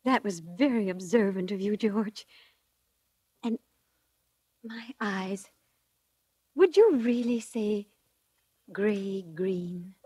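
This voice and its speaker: An actress playing a coy, eager woman angling for a proposal, breathy and deliberate, in a 1940s radio drama. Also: medium pitch, dark tone, no echo. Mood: coy, pleased.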